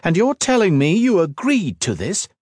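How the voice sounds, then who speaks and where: A man's voice: sits high, huge pitch swings, normal speed, darkish tone, dry recording, studio quality, pitched above the performer's own voice, heavily acted. A man voicing a furious, defiant princess in an audiobook, snapping with indignant emphasis. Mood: furious, scornful.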